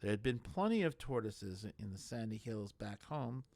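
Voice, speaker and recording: A man narrating a comic fantasy novel aloud, deliberate, with small slips and restarts. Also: fairly low pitch, very animated, vocal fry, darkish tone, dry recording. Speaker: audiobook reader. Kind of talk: storybook narration.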